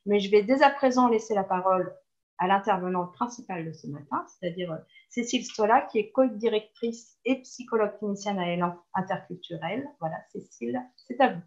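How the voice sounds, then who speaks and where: A woman moderating a webinar, giving a measured formal introduction. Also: mid-range voice. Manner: measured, with fillers. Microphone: video call. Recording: dull lo-fi audio.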